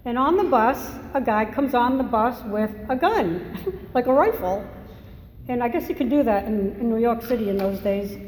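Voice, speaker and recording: A woman's voice: on the high side, normal speed, darkish tone. Older woman with a Massachusetts accent. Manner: candid, rambling, self-deprecating humor. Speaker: speaker at a recovery meeting. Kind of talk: personal testimony talk. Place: meeting hall. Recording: echoey hall, faint noise.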